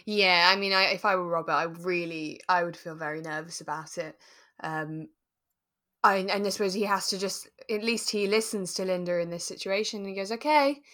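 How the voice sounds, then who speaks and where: Low-pitched woman podcaster, relaxed chat. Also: moderate pace. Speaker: podcast co-host. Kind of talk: relaxed casual discussion.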